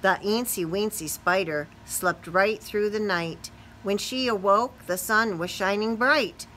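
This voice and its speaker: A middle-aged woman teacher reading a children's storybook aloud in a sing-song, expressive way, putting questions to young listeners. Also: medium pitch, measured pace, a little hiss or hum, low-quality recording. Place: small classroom or office, indoors.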